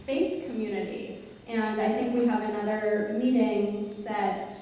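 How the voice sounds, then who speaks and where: A woman's voice: mid-range voice, steady tone, a little slow, warm tone, a little hiss or hum. A young woman moderating a congregation Q&A in a large synagogue sanctuary, explaining things earnestly with many ums on a handheld mic. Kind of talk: unscripted, explaining to an audience. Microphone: handheld mic, filmed by a far camera. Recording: echoey hall from far off.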